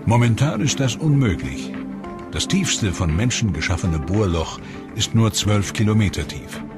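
A man narrating a science documentary in a calm, measured, scripted delivery. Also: on the low side. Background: music.

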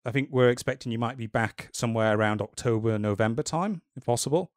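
A man hosting a casual podcast, talking in a measured way with wry, dry humor. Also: mid-range voice, dry recording. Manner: measured, wry and dryly joking. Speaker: podcast host.

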